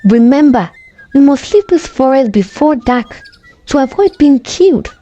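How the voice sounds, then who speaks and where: A woman's voice: high-pitched, animated, unhurried, warm tone, highs cut off, faint music behind, voice pushed up. A woman voicing an earnest young village healer in a folktale, speaking in a declamatory way. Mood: worried urging.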